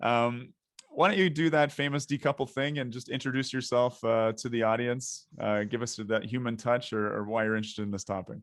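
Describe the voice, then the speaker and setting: A man's voice: medium pitch, fairly even intonation, a little fast, fairly bright tone, no echo, studio quality. A man hosting a podcast interview, talking at length in a rambling, thinking-aloud way. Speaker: podcast host.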